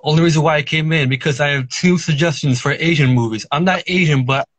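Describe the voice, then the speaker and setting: A man's voice: a little high, fast, fairly bright tone. A man calling in to a chat show, talking with a slight stutter in a hesitant, apologetic way that repeats itself, over a call line that breaks up. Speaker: caller.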